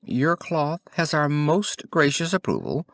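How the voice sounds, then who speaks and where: A vain storybook emperor, as a male narrator puts him on, pompous and dramatic. His pitch leaps about theatrically, and the sound is dry. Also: huge pitch swings, a little slow, no room sound, full character voice. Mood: pompous, pleased.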